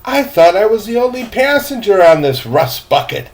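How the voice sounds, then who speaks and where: An older man as a high-pitched, frank young boy. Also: big pitch swings, moderate pace. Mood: frank, welcoming. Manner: plain-spoken, eager, wry. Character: young boy, frank, wry ship passenger.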